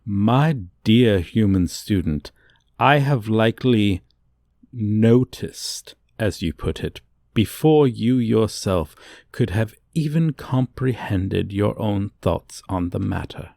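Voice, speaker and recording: A man's voice: lowish voice, animated, takes it slowly, gravelly, a little mellow, no echo, studio quality, exaggerated voice. A man voicing a haughty dragon professor in an ASMR roleplay, condescending to a human student in pompous, archaic, formal diction. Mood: condescending, amused.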